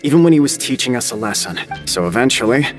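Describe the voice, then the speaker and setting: Man playing a mid-pitched, guarded prison tough. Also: lively intonation, fast, faint music behind, lightly acted. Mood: admiring. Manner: tough, plain-spoken. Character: guarded male prison gang member, tough, admiring follower. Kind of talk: video game voice acting.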